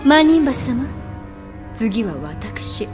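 Sinister anime lady villain, calm and formal, scheming lieutenant type. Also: on the high side, extremely slow, big-room sound, music in the background, heavily acted. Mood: sinister composure. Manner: composed, menacing, formal. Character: sinister, smooth female villain, deferential clan lieutenant. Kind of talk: comedic anime audio drama acting.

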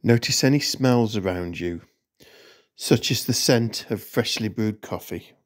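Very slow-paced man guiding a meditation. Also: fairly low pitch. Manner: measured, instructional, with short separate phrases. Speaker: meditation guide. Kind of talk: scripted guided meditation narration.

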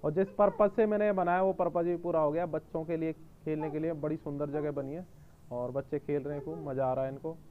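A man's voice: on the high side, fairly even intonation, quick pace, narrow, tinny audio. A man vlogging casually outdoors on a rooftop terrace. He talks to his viewers as friends and asks the children friendly questions. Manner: chatty, addresses viewers as friends. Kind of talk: casual vlog narration.

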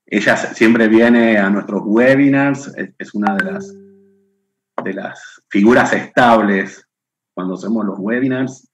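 A middle-aged Argentine man speaking into a webcam from a room at home, hosting a live online session. His voice sits fairly low, and he takes his time, chatting warmly as he greets viewers one by one by name. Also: unhurried, slight background noise. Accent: Argentine Spanish. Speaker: webinar host. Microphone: webcam on video call.

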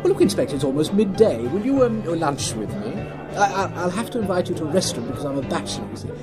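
Ingratiating Belgian businessman, stammering, nervous, over-friendly, fairly high voice, radio drama. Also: very animated, fast talker, over music, crowd noise. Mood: affable, probing.

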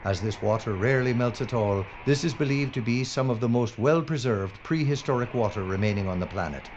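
A man playing a flippant, complaining documentary presenter in an audio drama, animated in manner. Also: medium pitch, a little fast, close to an everyday voice. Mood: presenting. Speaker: actor in radio drama. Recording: muffled, noisy ambience.

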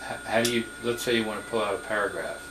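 A man's voice: fairly low pitch, steady tone, fast talker. Man questioning off camera, roomy. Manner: inquisitive, restarts his questions. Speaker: student asking questions. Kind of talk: curious questioning in a lesson. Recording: echoey room with noticeable hiss.

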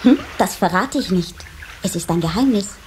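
A woman's voice: medium pitch, lively intonation, normal speed, darkish tone, lightly acted. An actress playing a stubborn, cheeky little water sprite boy in a children's radio play. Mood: curious, scheming. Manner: cheeky, defiant. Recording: slightly noisy old cassette transfer.

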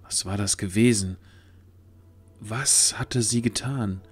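A man narrates a fantasy audio drama in a fairly low voice. The delivery is scripted storytelling, with quiet music underneath. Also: slow, slightly rough. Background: faint music.

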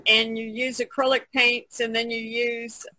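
Bright-voiced woman chatting about craft. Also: fairly high pitch, little pitch movement, unhurried, no echo. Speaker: podcast participant, artist. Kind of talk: casual chat, explaining a technique. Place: online video conference call, indoors. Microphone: video call.

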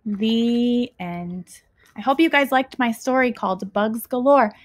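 Slow, high-voiced young woman reading to kids. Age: young adult. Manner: deliberate, emphatic phrasing with pauses between short rhymes. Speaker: teacher reading a picture book to children. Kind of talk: expressive children's read-aloud. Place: small living room at home, indoors.

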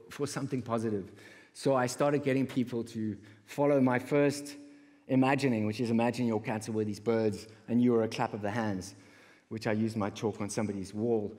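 A middle-aged man with a South African accent giving a stage talk, telling personal stories with pauses and repeated words. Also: medium pitch, darkish tone. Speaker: conference speaker, creative entrepreneur. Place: large indoor auditorium stage. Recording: reverberant auditorium hall.

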